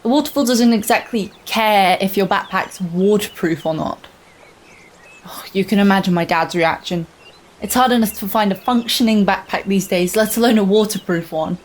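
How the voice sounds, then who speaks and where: A young woman playing an earnest, wistful girl explorer in a radio play, narrating in a measured, confiding, dramatic way. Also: medium pitch, a little hiss or hum, close to an everyday voice. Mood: wry. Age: young adult. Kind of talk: scripted first-person radio play monologue.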